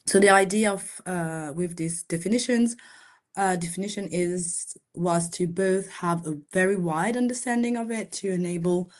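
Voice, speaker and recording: A woman's voice: lowish voice, moderate pace. A woman from Senegal, co-director of a fund, giving a hesitant presentation on a video call, with many fillers and restarts. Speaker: co-director of a funding organization. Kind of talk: online info session presentation.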